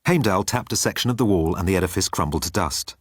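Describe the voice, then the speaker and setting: A man reading comic science fiction as an expressive audiobook narrator. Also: sits low, fast talker, dry recording, studio quality.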